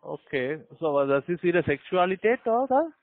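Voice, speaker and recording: A man with a foreign accent in German, speaking haltingly as a call centre agent and repeating words, on a telephone line. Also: on the high side, big pitch swings. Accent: foreign, non-native German. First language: not German. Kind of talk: unscripted phone sales call.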